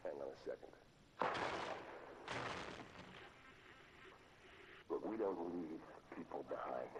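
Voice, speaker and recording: Calculating game turncoat, extremely low voice, persuasive, cynical, clear background noise. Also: male voice, fairly quick, muffled recording. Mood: disillusioned, urging. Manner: persuasive, hard-edged. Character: cynical, calculating male rogue agent, hungry for power. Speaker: video game villain character. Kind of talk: dramatic game cut-scene dialogue.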